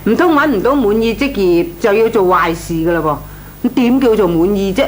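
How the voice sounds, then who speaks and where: Opinionated Cantonese auntie played by an actress. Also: female voice, mid-range voice, lively intonation, brisk, lightly acted. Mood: moralising. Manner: exclamatory, moralising. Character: opinionated, disapproving neighbourly older auntie. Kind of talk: old Hong Kong radio drama acting. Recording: old radio recording, faint noise.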